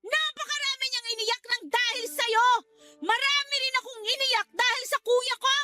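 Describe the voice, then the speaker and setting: A woman's voice: very high-pitched, fairly even intonation, normal speed, sharp tone, faint music behind. An actress playing a bitter young woman who protects her brother, accusing and emotional in a radio drama. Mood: hurt, reproachful. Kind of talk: Tagalog radio drama acting.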